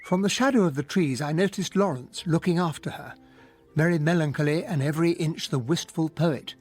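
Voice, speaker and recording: An actor playing a gentlemanly English army captain, narrating his memoir in a radio drama. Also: on the high side, fairly quick, faint music behind, close to an everyday voice. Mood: wistful. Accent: English, well-spoken. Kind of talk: scripted first-person radio drama narration.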